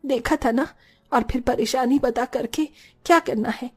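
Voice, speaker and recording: A long-suffering cartoon mother in her fifties , voiced by a woman. She is gentle and put-upon, speaking in a high voice. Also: unhurried, breathy edge. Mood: resigned, sad. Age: 50s. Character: gentle, long-suffering fifty-year-old well-off mother. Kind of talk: Hindi cartoon story voice acting.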